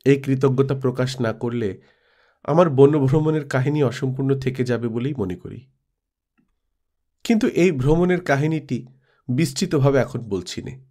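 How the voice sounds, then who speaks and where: A man narrates in the manner of an audiobook storyteller. His voice sits at an average pitch for a man, and he reads composed literary prose from a script. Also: normal speed, pauses often, mellow. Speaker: storyteller reading a classic audiobook.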